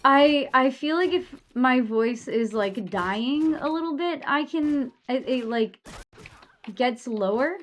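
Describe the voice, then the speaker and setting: A woman's voice: sits high, slow pace. A young adult woman streaming live, chatting casually and rambling with lots of filler words and the odd swear.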